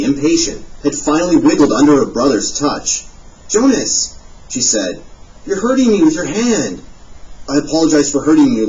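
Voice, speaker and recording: A man narrating a novel aloud, an expressive audiobook reading. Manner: expressive reading with lively pitch. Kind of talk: scripted narration. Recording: dull, distant audio played in a classroom.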